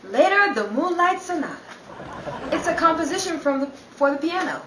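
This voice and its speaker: A woman's voice: extremely high pitch, very animated, moderate pace, a crowd in the background. An actress playing a dry, witty woman writer in a 1930s radio comedy, arch and theatrical. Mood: lofty. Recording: roomy, distant, noisy stage-like recording.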